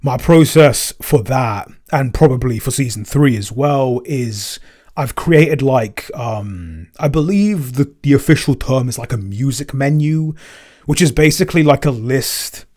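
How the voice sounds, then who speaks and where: A man's voice: mid-range voice, animated, measured pace, a little mellow. Thoughtful, rambling man in casual conversation. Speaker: podcast co-host and soundtrack composer. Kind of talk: casual Q&A chat.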